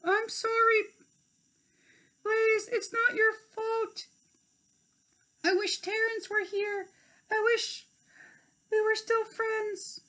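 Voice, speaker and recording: A woman's voice: very high-pitched, little pitch movement, drawn-out words, pauses often, pushed and bright, voice pushed up, full character voice. A woman voicing an earnest, emotional young female fairy in a children's storybook, with dramatic, pleading delivery. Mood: sorrowful. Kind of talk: children's storybook character reading.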